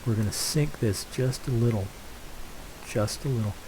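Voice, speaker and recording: A man's voice: medium pitch, moderate pace, background noise. An older man hosting a game let's play, chatting casually and congested from a head cold, rambling with lots of fillers and restarts. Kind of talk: casual gameplay commentary.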